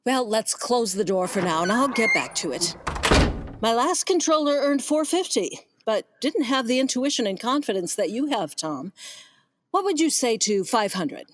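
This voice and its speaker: A woman's voice: on the high side, animated, moderate pace, close to an everyday voice. An actress playing a poised, wealthy female vineyard owner and boss with a gracious, genteel manner in a comedic radio play. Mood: warm, businesslike.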